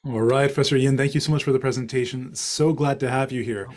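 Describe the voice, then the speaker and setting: Male event moderator, thirties to forties, average pitch, formal and courteous, on a video call. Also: steady tone, fast, a little mellow. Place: small office. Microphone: headset on video call.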